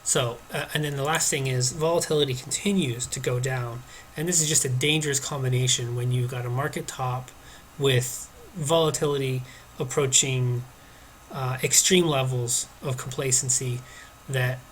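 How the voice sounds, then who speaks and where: A man's voice: medium pitch, fairly even intonation, moderate pace, slight background noise, rough recording. A man with a stuffed-up nose from allergies, casually talking through stock charts on his screen with many hesitations and restarts. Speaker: stock market commentator.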